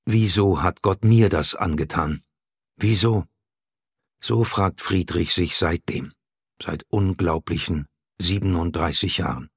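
A man reading a literary audiobook in a measured, grave and dramatic narrating style. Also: low-pitched, breathy edge, no echo, studio quality.

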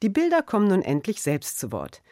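A woman's voice: mid-range voice, huge pitch swings, brisk, darkish tone, dry recording, studio quality. A woman presenting a radio programme, reading a formal scripted introduction.

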